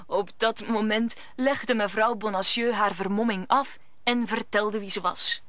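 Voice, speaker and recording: A woman's voice: mid-range voice, fairly even intonation, a little slow, a bit bright, dry recording. A woman narrating a radio play in a scripted storybook style.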